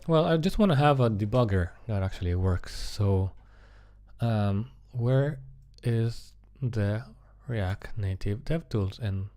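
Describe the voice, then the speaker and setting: A man casually thinking aloud as he narrates live coding, halting and muttering to himself as he works. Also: lowish voice, animated, mellow.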